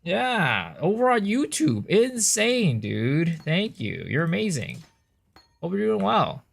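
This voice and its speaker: Chatty American streamer with a lively voice. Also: male voice, high voice, slow pace, vocal fry. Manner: chatty, thanks viewers with big excited bursts. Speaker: livestreamer. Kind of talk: casual gameplay commentary.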